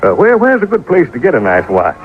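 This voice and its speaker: A man's voice: fairly high pitch, wildly swooping pitch, fast talker. An actor playing a naive, polite, bashful young country boy in an old radio drama, with folksy ungrammatical talk. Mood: eager, shy. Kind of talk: old-time radio detective drama acting. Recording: muffled vintage radio recording, music behind.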